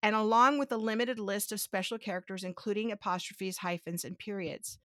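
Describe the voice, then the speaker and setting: A woman with a mid-range voice hosts a relaxed podcast chat, talking through her ideas step by step and often circling back with rhetorical questions. Her first language is Spanish. The sound is dry and close, with no room around it. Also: mid-range voice, a little fast, no echo. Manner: thinks aloud, with many restarts and rhetorical questions; explains step by step to her co-host. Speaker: podcast host.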